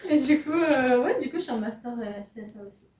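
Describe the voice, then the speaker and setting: Soft-spoken young woman, distant and echoey. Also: sits high, animated, fast. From southern France. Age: 20s. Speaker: master's student. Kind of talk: casual podcast chat. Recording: echoey room, faint hum, mic far off.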